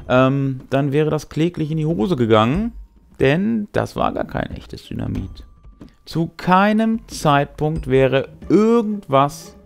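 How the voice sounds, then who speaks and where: A man's voice: mid-range voice, creaky. A man in his thirties, a game master giving explanatory commentary on a tabletop game to camera. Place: small indoor room with brick wall. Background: music.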